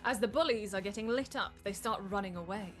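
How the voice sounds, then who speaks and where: A woman's voice: medium pitch, quick pace. Woman narrating over faint music. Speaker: narrator reading stage directions. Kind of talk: scripted narration at a comedic live table read.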